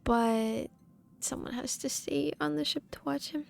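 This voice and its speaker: A woman's voice: high-pitched, steady tone, measured pace, creaky, faint music behind. A woman chatting casually as she live streams a game, giggly and meandering.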